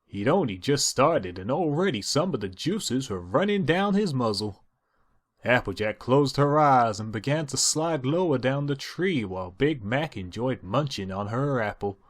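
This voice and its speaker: A man's voice: mid-range voice, animated, moderate pace. A man reading a story aloud as narrator, in a dramatised storybook style.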